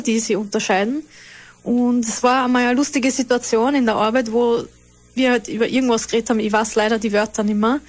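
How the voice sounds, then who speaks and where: A woman, a guest speaking slowly and hesitantly with many fillers in a casual interview. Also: a little high.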